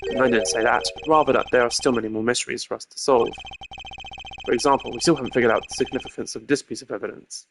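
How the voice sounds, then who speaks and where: A man voicing a cool, formal prosecutor from a video game, speaking in a measured, pompous way. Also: mid-range voice, steady tone, vocal fry, noisy background, lightly acted. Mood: composed, pondering. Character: cool, formal male prosecutor with deductive mind. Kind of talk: amateur video game voice-over. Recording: loud music under the voice.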